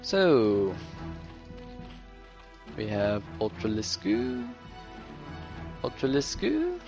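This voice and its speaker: A hoarse young man doing solo play-by-play commentary on a game, chatty and rambling, jumping into excited bursts. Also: fairly low pitch, drawn-out words, muffled recording. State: losing his voice, a little sick. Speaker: esports caster. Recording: music playing underneath.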